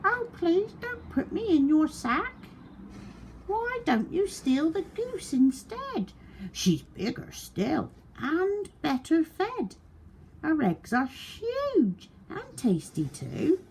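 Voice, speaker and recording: A woman's voice: very high-pitched, wildly swooping pitch, slow, darkish tone, a little hiss or hum, voice pushed up, heavily acted. A woman voicing a sly, coaxing female farmyard duck in a children's storybook. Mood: pleading. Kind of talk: children's storybook read aloud.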